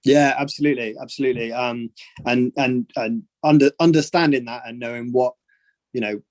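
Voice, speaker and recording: English photo coach, friendly, stop-start talk, dry close sound, mid voice. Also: male voice, mid-range voice, steady tone, brisk, darkish tone, dry recording. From England. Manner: friendly, many restarts and fillers. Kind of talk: casual Q&A on a video call. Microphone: video call.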